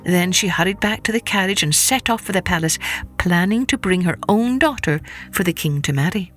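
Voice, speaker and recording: A woman's voice: fairly low pitch, expressive, a little fast, fairly bright tone, soft background music. A woman storyteller reading a scripted children's folktale expressively.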